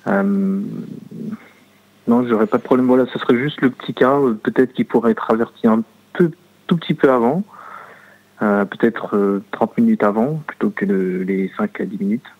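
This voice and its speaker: A man giving casual feedback as a customer, halting often to search for words. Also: fairly low pitch. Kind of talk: casual spoken phone feedback. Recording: tinny, narrow phone line.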